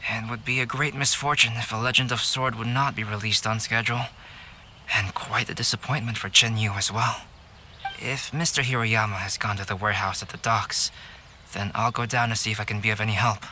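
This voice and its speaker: Calm, low-voiced young intellectual. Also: male voice, a little hiss or hum. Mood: calm, reasoning. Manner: measured, formal, composed. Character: calm, polite, analytical young male artist. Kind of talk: video game voice acting.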